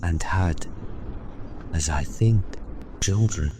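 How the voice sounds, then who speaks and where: A man doing a low, hushed ghost. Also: slight background noise. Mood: solemn. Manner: quiet, measured speech. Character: solemn spirit, calm and sparing of words.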